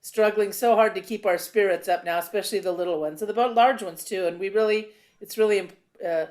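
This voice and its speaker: A woman's voice: medium pitch, brisk, darkish tone. A chatty, effusive woman from a theatre company talking casually on a video call. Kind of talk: casual chat. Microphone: video call microphone.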